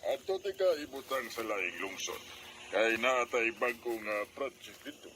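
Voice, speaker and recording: A man's voice: medium pitch, expressive, normal speed, background noise, lightly acted. A man playing a reassuring construction boss in a radio drama, matter-of-fact and directive, with a Cebuano Filipino accent. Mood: businesslike. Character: male construction boss, reassuring, steady authority. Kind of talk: Philippine radio drama acting.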